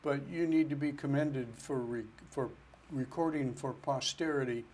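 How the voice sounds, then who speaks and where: A man's voice: mid-range voice, moderate pace, darkish tone. A man who is a financial planner, answering interview questions in an earnest, step-by-step way. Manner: earnest, explains step by step with restarts.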